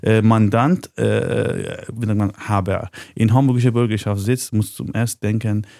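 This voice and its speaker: Guy speaking german as 2nd language, halting, stops to find words, dark mellow tone. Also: on the low side, flat delivery, creaky, no room sound, studio quality. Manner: non-native German with grammar slips, searches for words. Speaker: podcast guest. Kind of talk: casual conversation.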